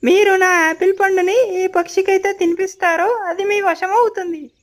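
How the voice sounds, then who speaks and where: A woman's voice: very high-pitched, steady tone, moderate pace. A woman voicing a kindly magical apple tree in a children's cartoon, with a coaxing manner. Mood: reassuring. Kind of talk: children's cartoon story voice acting.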